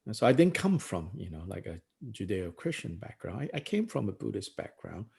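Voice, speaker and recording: A middle-aged man from Malaysia, a Buddhist teacher talking through ideas in a thoughtful, unhurried way, with many pauses, "you know"s and frequent chuckles. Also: lowish voice, big pitch swings, creaky, dark tone, husky. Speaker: Buddhist teacher leading a book study. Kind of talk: reflective discussion talk.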